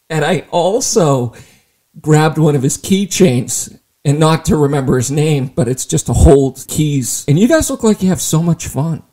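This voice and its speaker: A man hosting an interview, joking and tossing in quick asides as he chats with his guest. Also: a little high, expressive, mellow. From Ireland. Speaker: podcast host.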